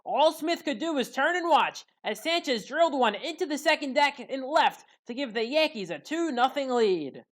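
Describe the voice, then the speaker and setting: A woman with a fairly high voice narrates sports highlights. She reads scripted play-by-play as a commentator recapping the action. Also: animated, normal speed, hardly any pauses.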